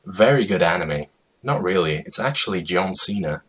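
A man giving a mock-dramatic reading of a comedic story in an over-the-top, deliberately absurd way, drawing out words. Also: deep voice, animated, a bit bright, slightly rough.

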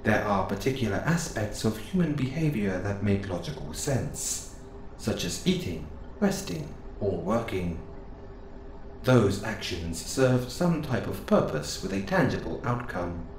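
A man playing a gentle, curious robot assistant who speaks in formal, precise phrases, close and intimate in an audio roleplay. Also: on the low side, very animated, measured pace. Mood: gently curious. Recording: roomy sound with clear background noise.

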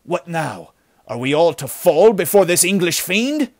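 A man voicing a frightened, malevolent rat-like bandit in an urgent, excitable way for an adventure story. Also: fairly high pitch, a little slow, no echo, studio quality, pitched above the performer's own voice. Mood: alarmed, urgent. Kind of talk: dramatic storybook character acting.

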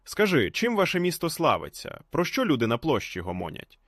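A bold Cossack hero from a storybook folk tale, acted by a male narrator. He is courteous and free-spirited, with a very animated melody to his speech. The sound is dry and close. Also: fairly high pitch, a little fast, no echo, pitched above the performer's own voice, exaggerated voice. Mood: friendly, courteous.

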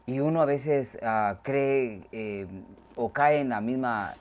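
A man's voice: medium pitch, takes it slowly, a little mellow. A man from Guatemala, hosting a casual interview and rephrasing his points hesitantly. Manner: frequent short backchannels, hesitant rephrasing. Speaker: show host.

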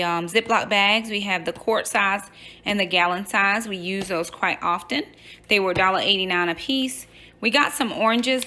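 A woman's voice: mid-range voice, sharp tone, slight background noise. A woman in her thirties at home, chatting through a grocery haul and listing each item and its price. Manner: lists items and prices item by item, chatty asides. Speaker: vlogger, mom. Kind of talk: casual grocery haul chat. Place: home kitchen counter.

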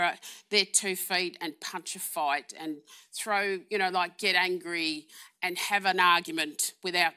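A woman's voice: on the low side, sharp tone. Earnest woman answering questions. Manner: earnest, candid. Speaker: keynote speaker answering questions. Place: lecture room, indoors.

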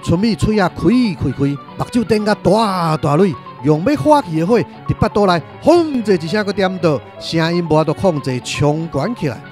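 A man with a Taiwanese accent narrating a radio drama in an expressive, literary storytelling style. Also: sits high, normal speed, hardly any pauses, soft background music.

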